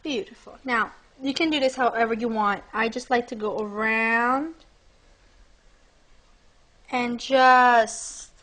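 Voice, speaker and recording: A young woman hosting a cooking demonstration in her home kitchen, chatting brightly and speaking straight to her viewers. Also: on the high side, takes it slowly, long pauses, rough recording. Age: young adult. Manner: bubbly, chatty, addresses viewers directly. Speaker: cooking show host. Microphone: lapel mic.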